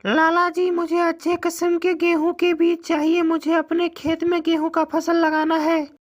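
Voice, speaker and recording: A woman's voice: very high voice, completely flat delivery, normal speed. A woman voicing a poor, polite young farmer in a Hindi story cartoon, speaking respectfully and earnestly.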